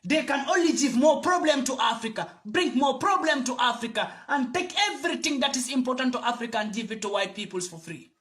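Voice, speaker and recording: Man, very high and bright-edged voice, impassioned and preachy to-camera address. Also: lively intonation, fairly quick, few pauses. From Gambia. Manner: fiery, preachy, repeats phrases for emphasis. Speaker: video commentator.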